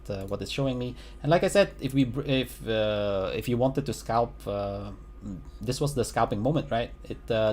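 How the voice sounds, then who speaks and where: A man's voice: lowish voice, a little mellow, slight background noise. A man casually talking through market charts, with lots of fillers and restarts, recorded at home. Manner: halting, many fillers and restarts; chuckles at own remarks. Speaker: crypto market analyst. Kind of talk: casual chart analysis commentary.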